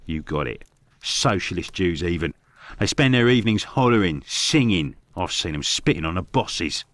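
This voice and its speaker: An actor playing a gossipy working-class Victorian barkeeper in a video game, blunt and colloquial, with a London accent. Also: male voice, deep voice, expressive, moderate pace, fairly bright tone, no room sound. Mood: gossipy, scornful. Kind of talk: video game character dialogue.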